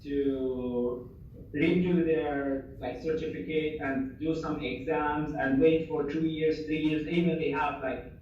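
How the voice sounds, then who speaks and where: A man's voice: a little high, steady tone, slow, darkish tone, muffled recording, a little hiss or hum. A young man from Syria, speaking English as an in an earnest, casual interview, full of fillers and restarted phrases. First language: Arabic. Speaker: interviewee, refugee and former assistant doctor. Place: home in Germany. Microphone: video call. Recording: echoey distant video-call audio.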